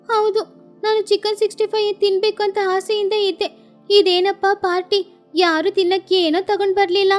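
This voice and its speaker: A woman voicing an indignant, demanding little child sparrow in an animated storybook tale. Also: very high-pitched, moderate pace, soft background music, voice pushed up. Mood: disappointed. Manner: complaining, demanding.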